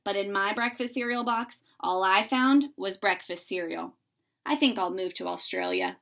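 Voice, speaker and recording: A woman's voice: mid-range voice, sing-song, moderate pace, vocal fry, fairly bright tone. A woman voicing a grumpy, put-upon young boy who complains emphatically in a children's storybook read-aloud. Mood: indignant.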